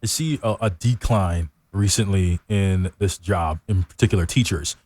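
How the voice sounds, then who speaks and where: A man with a low voice hosts a talk show from a dry, close-sounding studio. He speaks informally, with effusive encouragement, as if chatting. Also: moderate pace, a little mellow, no room sound. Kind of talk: casual radio interview chat.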